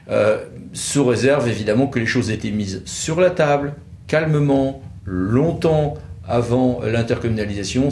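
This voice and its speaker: A man's voice: mid-range voice, a little mellow. A man giving a conversational legal explainer, didactic, repeating words for emphasis. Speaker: legal expert presenter. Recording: echoey room with light noise.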